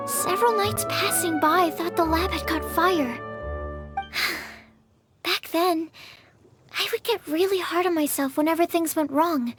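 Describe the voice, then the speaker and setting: A woman playing a gentle, earnest young alchemist's assistant in an anime game, kindly and reassuring. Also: extremely high pitch. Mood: reflective. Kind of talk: anime game character acting. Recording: music under the voice.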